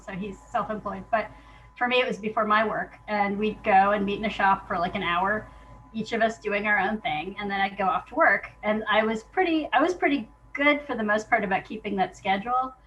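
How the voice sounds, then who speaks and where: A woman's voice: mid-range voice, a bit bright, dull audio, slight background noise. A woman talking casually in an interview, chatty and self-deprecating in an informal way. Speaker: playwright being interviewed.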